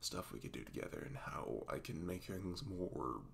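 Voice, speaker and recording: A man acts out a sweet, nervous boyfriend in an intimate ASMR roleplay. His voice is very low and gravelly, swinging widely in pitch as he stammers and apologizes tenderly. A little noise sits behind him. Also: animated, exaggerated voice. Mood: sheepish, nervous. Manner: stammering, halting, apologetic, breaking into soft nervous murmurs.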